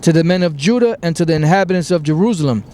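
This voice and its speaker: A man preaching outdoors, slowly reading scripture verses aloud and then explaining them. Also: fairly high pitch, animated, mellow, a little hiss or hum.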